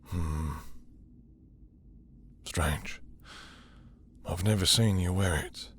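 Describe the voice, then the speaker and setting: A man playing a possessive, dominant vampire lord in intimate roleplay audio, with a husky, breathy voice and a teasing, seductive, condescending manner. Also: deep voice, drawn-out words, a bit bright, slight background noise, heavily acted. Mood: teasing, smug. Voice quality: husky, breathy, dropping into whispers.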